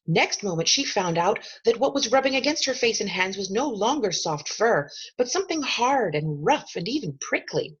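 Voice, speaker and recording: A woman storyteller reads a children's novel with lively, swooping intonation and measured dramatic emphasis. Her voice is husky, and the recording sounds slightly dull and lo-fi. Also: medium pitch. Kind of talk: expressive storybook narration.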